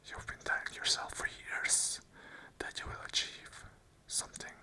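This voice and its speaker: An ASMR host whispering an unscripted monologue of earnest, repeated affirmations in short phrases. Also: unhurried. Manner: whispers the whole way, soft and unvoiced.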